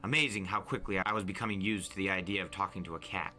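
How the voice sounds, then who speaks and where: Male narrator, lowish and forceful, dramatic first-person storybook read over soft music. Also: fast talker. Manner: expressive, suspenseful first-person reading. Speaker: narrator and reader. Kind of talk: dramatic audiobook-style storytelling. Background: music bed.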